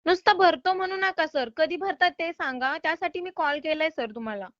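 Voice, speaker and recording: A woman's voice: extremely high pitch, fairly quick, fairly bright tone, dry recording. A woman making a loan recovery call in an insistent, polite but exasperated manner. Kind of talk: phone collection call. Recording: slightly dull phone-call audio.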